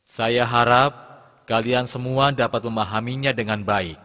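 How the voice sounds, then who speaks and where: A man's voice: medium pitch, fairly even intonation, unhurried, sharp tone. A man playing a patient religious teacher in an educational cartoon, giving formal, didactic scripted instruction. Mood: patient, instructive. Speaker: Islamic teacher. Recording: long reverberant tail on the voice.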